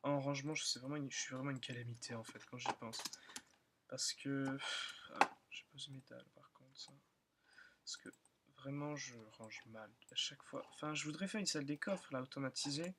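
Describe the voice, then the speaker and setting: A young man who is still in school, slightly hoarse, rambling and thinking aloud with many fillers as he comments on his own gameplay. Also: medium pitch, brisk, background noise. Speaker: gaming channel host. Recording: loud keyboard and mouse clicking.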